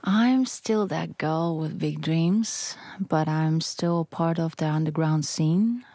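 Low gravelly Ukrainian-accented woman. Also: slow pace, mellow, no echo, studio quality. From western Ukraine. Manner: earnest, searching for words in English, many restarts. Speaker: musician guest. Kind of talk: interview answers.

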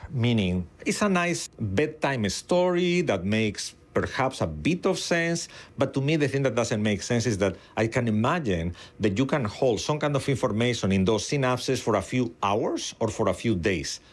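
A middle-aged man, a native Spanish speaker with a Spanish accent, explaining things as an interviewed neuroscientist in an opinionated way, with everyday analogies. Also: mid-range voice.

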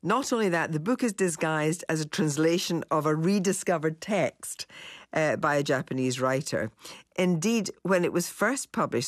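A woman interviewer asking calm, probing questions. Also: low-pitched, no echo, studio quality.

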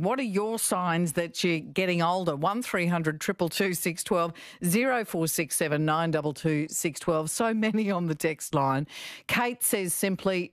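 A woman's voice: on the low side, no room sound, clean studio sound. A woman hosting a talkback radio show, chatting with callers and laughing easily. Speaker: radio host.

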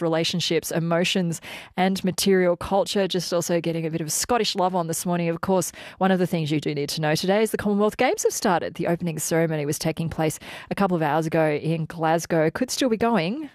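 Woman, radio host, upbeat, bantering, low-ish voice, dry studio. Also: a little mellow, dry recording. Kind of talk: live radio interview. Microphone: studio mic, dry.

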